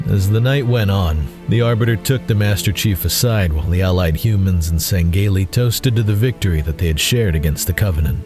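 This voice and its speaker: Casting: male narrator, deep voice, scripted science fiction storytelling with soft music underneath. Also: fairly quick, few pauses.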